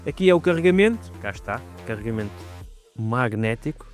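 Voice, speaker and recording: A man's voice: medium pitch, lively intonation, a little fast, soft background music. A man with a European Portuguese accent, chatting casually to his viewers as he reviews gear and rides a motorcycle. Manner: chatty, addresses viewers directly. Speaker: motovlogger. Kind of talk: casual product review vlog. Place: riding a motorcycle outdoors on the road. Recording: loud wind and road noise.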